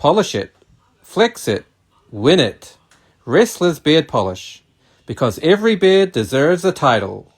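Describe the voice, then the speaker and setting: An over-eager advert announcer acted by a man with a slightly high, very animated voice. He hypes the product with punchy emphasis in parody radio-commercial style. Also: measured pace, breathy edge, exaggerated voice. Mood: hyped bravado. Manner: emphatic, hyped salesmanship.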